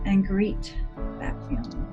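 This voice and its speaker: A woman leading a guided meditation as a psychologist, speaking in a gentle, measured, soothing manner with deliberate pauses. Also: dull audio. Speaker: clinical psychologist guiding a meditation. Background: music.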